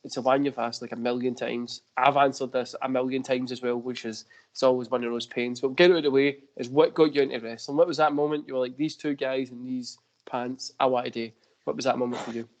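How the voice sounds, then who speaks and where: A man's voice: mid-range voice, steady tone, fast talker. Glaswegian male podcast host, loose and rambling, swears, laughs a lot. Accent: Glaswegian Scottish. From Scotland. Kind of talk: casual wrestling podcast chat.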